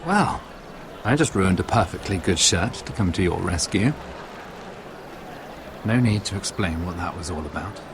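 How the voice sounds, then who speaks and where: An actor playing a smooth, calculating noble merchant in a game, with a silky, courtly, insinuating manner. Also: male voice, low voice, expressive, normal speed, pauses often, noisy background. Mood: sly, persuasive. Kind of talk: dramatic game cutscene acting.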